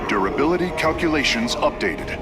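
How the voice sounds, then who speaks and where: An actor playing a logical, analytical alien scientist in a video game, with formal, measured, clinical delivery. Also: male voice, fairly high pitch, animated, loud music behind the voice, close to an everyday voice. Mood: clinical, intent. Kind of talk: video game mission dialogue. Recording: loud battle noise behind.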